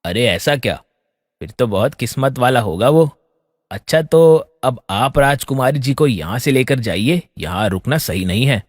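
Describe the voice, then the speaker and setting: A man's voice: medium pitch, animated, normal speed, dry recording, studio quality, in a large hall, natural voice. A man playing a young, courteous, slightly flustered prince in a fairy tale, polite and hesitant. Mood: curious. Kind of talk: Hindi fairy tale audio acting.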